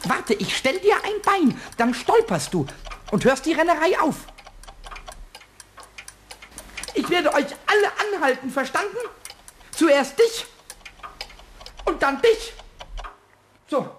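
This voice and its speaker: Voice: medium pitch, big pitch swings, normal speed, lightly acted. An actor playing a cheeky, bossy, childlike male kobold, chattering and giggly, in a German children's radio play. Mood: bossy, mischievous. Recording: noisy old radio play with ticking clocks.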